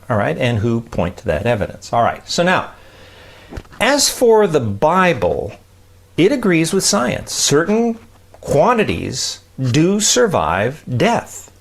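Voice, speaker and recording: A man's voice: mid-range voice, gravelly. A man hosting a podcast, giving an emphatic, informal, conversational lesson, stressing key words and checking in with the listener. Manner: emphatic, with rhetorical check-ins like "right?" and "okay?". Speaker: podcast host explaining science and faith. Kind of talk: conversational teaching talk.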